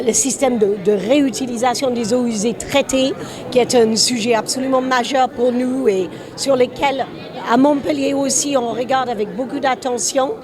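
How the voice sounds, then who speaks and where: Female city delegate from France, notably high pitch, enthusiastic press remarks, busy outdoor crowd around her. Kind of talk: press statement.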